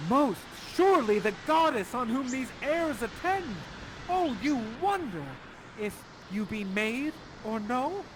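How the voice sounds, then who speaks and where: A lovestruck, sorrowful young stage prince, acted out by a man, declaims in a high voice. His Shakespearean lines are drawn out and melodramatic, with faint noise behind. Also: very animated, slow pace, full character voice. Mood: awed. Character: lovestruck, grieving young shipwrecked prince of Naples.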